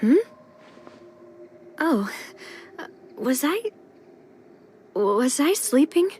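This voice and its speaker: A woman's voice: high-pitched, big pitch swings, very slow, darkish tone, soft background music, heavily acted. A woman playing an earnest, dutiful young noblewoman in a video game. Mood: dazed, uncertain.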